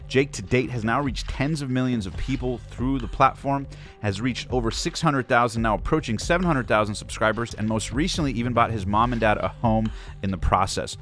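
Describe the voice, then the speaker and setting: A man hosting an interview show, hyping up his guest in the intro and then chatting casually, laughing along. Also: medium pitch. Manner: upbeat, laughs often, hype-style intro. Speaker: podcast host.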